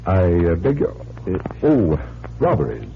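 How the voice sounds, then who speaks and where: An actor playing a genial, unflappable magician and amateur detective, easygoing and courteous, in 1940s radio style. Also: male voice, low voice, wildly swooping pitch, unhurried, gravelly, heavily acted. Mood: courteous, attentive. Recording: tinny, noisy 1940s radio recording.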